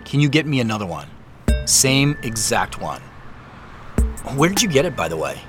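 A man's voice: medium pitch, big pitch swings, moderate pace, music in the background, natural voice. A man acting a harried, apologetic character on a phone call in an audio drama, casual and hesitant. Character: harried, apologetic man hiding his part in a scandal.

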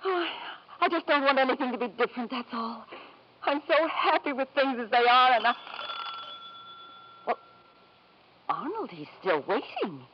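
A woman's voice: high-pitched, sing-song, normal speed, pauses often. An actress playing a devoted, anxious young English wife and mother in a 1950s radio drama, fussing and gushing. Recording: muffled, hissy 1950s radio recording.

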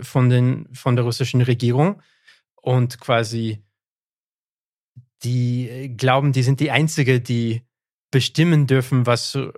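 A man's voice: medium pitch, no echo. Canadian man speaking German, dry close sound. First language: English. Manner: thoughtful, hesitant with frequent fillers and restarts. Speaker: guest historian. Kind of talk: podcast interview.

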